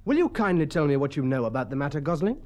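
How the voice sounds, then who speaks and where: A man's voice: a little high, sing-song, fast talker, a little mellow. A man acting a stern, swooping English schoolmaster. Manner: precise, formal, exclamatory.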